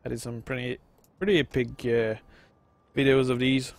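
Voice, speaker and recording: A man's voice: medium pitch, level tone, normal speed, no room sound. A man in his thirties with a Quebec French accent, casually commenting on a strategy game and chatting with viewers, rambling with many fillers. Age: 30s. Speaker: gaming video host. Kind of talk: casual let's-play commentary.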